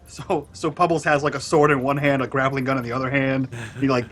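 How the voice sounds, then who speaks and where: A man's voice: mid-range voice, little pitch movement, fairly quick, a bit bright. A man casually improvising scene descriptions as a player in a tabletop roleplaying game.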